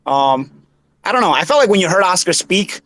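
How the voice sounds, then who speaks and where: A man in his thirties with a Bensonhurst Brooklyn accent, a former boxer hosting a casual boxing talk show, animated and tripping over repeated words. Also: high-pitched, rough recording. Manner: animated, piles up quick restarts and repeated words.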